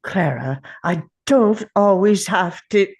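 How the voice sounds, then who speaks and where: A woman's voice: mid-range voice, animated, slow, a little mellow, breathy edge, full character voice. A woman playing a brooding, anxious time traveller who talks aloud in a dramatic script read-through. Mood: weary, defensive. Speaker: actor at a table read.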